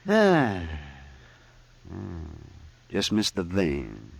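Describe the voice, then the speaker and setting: Radio western town doc: folksy American, lowish but very animated, fussy, muttering, kindly. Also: male voice, very slow, creaky, slightly rough, no room sound, heavily acted. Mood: fussing, relieved. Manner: muttering, chuckling, repeats himself. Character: fussy, folksy, caring frontier town doctor. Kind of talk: old-time radio western acting.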